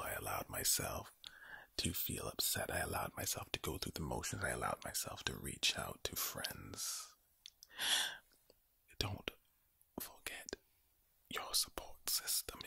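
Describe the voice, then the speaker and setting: A man whispers very close to the mic in a low, heavily breathy voice. He gives an ASMR pep talk, leaning on repetition and rhetorical questions. The creaky undertone gives him an ominous, villain-like sound. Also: big pitch swings, pushed and bright, no echo. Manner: slow deliberate phrasing with repetition and rhetorical questions. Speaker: ASMR video creator. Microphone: close microphone.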